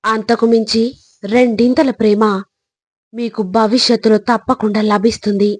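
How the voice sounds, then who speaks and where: A woman delivering scripted motivational narration in short, deliberate phrases, earnestly urging the listener on. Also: on the high side, flat delivery, a little mellow, husky, dry recording. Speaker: motivational speaker.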